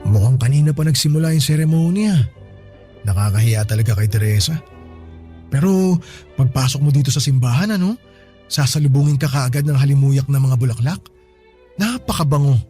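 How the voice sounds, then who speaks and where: A man's voice: mid-range voice, expressive, a little fast, long pauses, dark tone, soft background music, close to an everyday voice. An actor playing a friendly, good-humored male store boss with a Filipino accent, chatting easily in a radio drama. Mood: sheepish, friendly. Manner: chatty, chuckling between remarks. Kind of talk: Tagalog radio drama acting, conversational.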